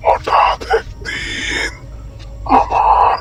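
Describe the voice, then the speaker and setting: A man voicing the mournful ghost of a cursed prince in a cartoon, slow, wailing and pleading for freedom. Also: over music, low-quality recording. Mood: mournful, secretive. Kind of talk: animated ghost story acting.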